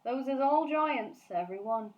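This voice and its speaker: A woman voicing a big friendly giant from a storybook, excitable and kindly, speaking emphatically in garbled grammar and nonsense words. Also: high voice, normal speed, lo-fi sound, voice pushed up. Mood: matter-of-fact.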